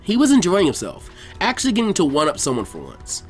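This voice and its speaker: A man reading fan fiction aloud as an expressive scripted story narration. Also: high voice, fast talker, soft background music.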